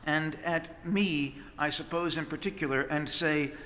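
Slow, bright-voiced priest preaching in church. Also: male voice, on the high side. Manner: expressive preaching with sweeping hand gestures. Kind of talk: sermon. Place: large stone church, at the pulpit. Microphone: pulpit microphone on a stand. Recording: long church reverb.

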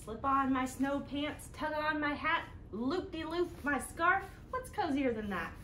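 A woman hosting a children's storytime, reading aloud in an animated, sing-song way that speaks directly to little listeners. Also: sits high, unhurried. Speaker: children's storytime host at a library. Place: living room indoors, seated on a couch. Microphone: camera a few feet away. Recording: roomy home sound with noticeable background noise.

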